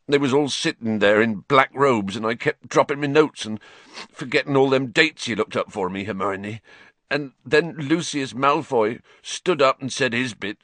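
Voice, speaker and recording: Guy voicing a gruff country gamekeeper, broken up, lively pitch, dry sound. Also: no echo, natural voice. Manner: rustic dialect, sad and gruff. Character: huge soft-hearted male gamekeeper.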